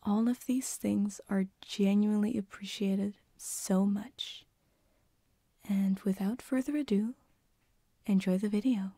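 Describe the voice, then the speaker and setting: A woman hosting an ASMR channel, giving a soft, half-whispered introduction in a breathy voice. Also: medium pitch, steady tone, slow pace, dry recording, clean studio sound.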